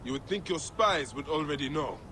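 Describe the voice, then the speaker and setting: An actor playing a grim, grieving Egyptian warrior bent on vengeance in a video game. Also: male voice, fairly high pitch, animated, fairly quick, pushed and bright, long reverb tail, a little hiss or hum, rough recording. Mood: cold, terse. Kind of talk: dramatic video game acting.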